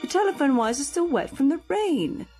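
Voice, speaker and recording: A woman's voice: high-pitched, big pitch swings, a little fast, warm tone, faint music behind. A woman playing a patient carer in a radio drama, reasoning in a soothing but firm manner.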